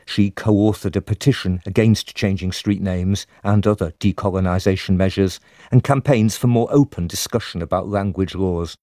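Low, dark-voiced male radio reporter. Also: moderate pace, no room sound, studio quality. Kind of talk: scripted documentary narration with interviews.

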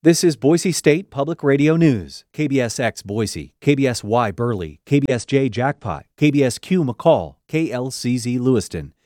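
Man, station announcer, crisp rhythmic reading, mellow tone, dry sound. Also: mid-range voice, expressive, moderate pace, hardly any pauses, no echo, faint music behind.

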